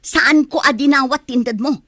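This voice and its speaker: A woman's voice: high voice, a little slow, pushed and bright, dry recording, studio quality. A woman with an Ilocano accent playing a brash, defensive, nagging village mother in a comedy radio drama. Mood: defensive, annoyed. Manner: nagging, argumentative.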